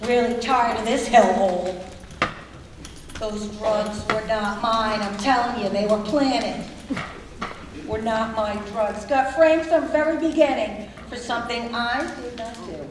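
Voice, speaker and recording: A weary, indignant woman inmate, acted by a woman on stage, grumbles slowly and deliberately about her lot. The recording is very echoey, with clear noise behind her. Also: on the high side, close to an everyday voice. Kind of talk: live staged reading of a musical. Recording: echoey room, distant mic, noisy.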